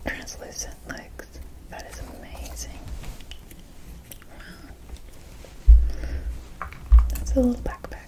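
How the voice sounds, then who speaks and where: A woman whispering as an ASMR host, rambling softly in short admiring remarks during a show-and-tell. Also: poor audio.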